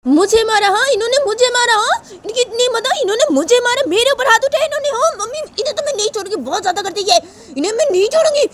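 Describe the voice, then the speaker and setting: A woman's voice: very high voice, animated, fast, few pauses, dark tone, voice pushed up. A woman voicing a defiant young wife who taunts and refuses to forgive. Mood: indignant.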